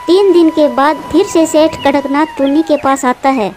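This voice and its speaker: High-pitched woman storyteller with music. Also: moderate pace, a little mellow, a little hiss or hum. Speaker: narrator. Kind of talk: scripted children's story narration.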